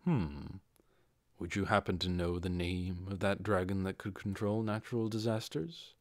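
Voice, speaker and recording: A man's voice: sits low, steady tone, normal speed, darkish tone, dry recording, clean studio sound, pitched below the performer's own voice. A man voicing a reserved, brooding undead adventurer who muses inwardly in an audiobook. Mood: wary musing.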